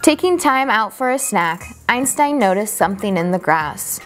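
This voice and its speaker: Woman reading a children's storybook, music behind. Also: medium pitch. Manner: expressive, deliberate, drawn-out emphasis on key words. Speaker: storyteller reading a children's picture book aloud. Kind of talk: children's storybook read-aloud.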